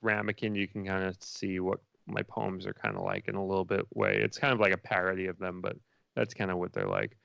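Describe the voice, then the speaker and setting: Man as indie filmmaker guest, lowish voice, dry close sound, loose and chatty interview answers that wander. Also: fairly quick, vocal fry, fairly bright tone, no echo. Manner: rambling and informal, many likes and you knows.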